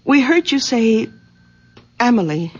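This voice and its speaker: An actress playing an anxious, protective grown daughter, earnest and cautious, in a radio drama on a dull old recording. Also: female voice, a little high, very animated, takes it slowly, exaggerated voice. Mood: gentle concern. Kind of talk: 1970s radio drama acting.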